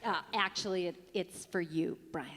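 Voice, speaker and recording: An actress playing a patient, practical wife in a live radio comedy, placating and a little halting. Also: female voice, lowish voice, a bit bright, close to an everyday voice. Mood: practical, accommodating. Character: patient, practical wife managing her eccentric husband. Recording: live stage in a reverberant hall.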